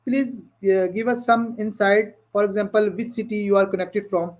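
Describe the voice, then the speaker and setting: A man from Pakistan hosting a live online interview in English, polite and halting, with many "uh" pauses. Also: sits high, little pitch movement. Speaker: interview host. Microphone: video call.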